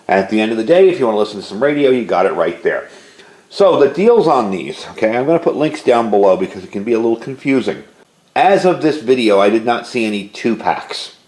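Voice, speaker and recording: Low-voiced man in an echoey room. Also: darkish tone. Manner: informal, explanatory. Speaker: product reviewer. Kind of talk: casual product review chat. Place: indoors at home. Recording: roomy indoor echo.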